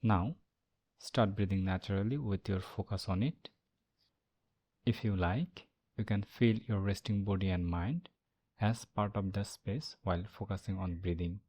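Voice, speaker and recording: A man leading a guided meditation as the instructor, speaking in a calm, measured way with long pauses. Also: low-pitched.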